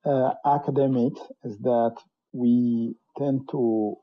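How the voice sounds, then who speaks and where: A middle-aged man from Cameroon, a professor and interview guest, telling his story in a measured way, full of "you know". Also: mid-range voice, steady tone. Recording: dull lo-fi sound.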